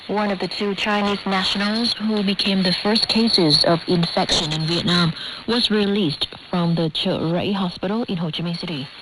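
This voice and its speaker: A woman reading a formal news bulletin in a crisp, scripted way, heard through a shortwave radio broadcast with static. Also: medium pitch. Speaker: newsreader.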